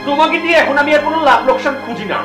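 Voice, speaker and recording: An actor playing a wronged, accusing young lover in a TV drama, with a Bangladeshi accent and an emphatic, bitter delivery. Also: male voice, very high-pitched, rapid-fire. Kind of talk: TV drama acting. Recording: echoey room with music behind.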